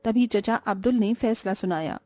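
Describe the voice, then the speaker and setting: A woman narrating a scripted cartoon story in a calm storytelling manner. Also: mid-range voice, normal speed, no room sound, faint music behind.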